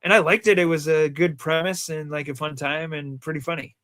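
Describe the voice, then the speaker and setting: Higher-voiced Rhode Island guy, joking. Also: fairly even intonation, brisk, fairly bright tone. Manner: joking, teasing. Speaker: co-host of a movie countdown show. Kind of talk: casual film chat.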